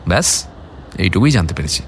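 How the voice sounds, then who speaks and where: Matter-of-fact informant amid traffic noise. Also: male voice, deep voice, sing-song, brisk. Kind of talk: Bengali radio detective drama acting.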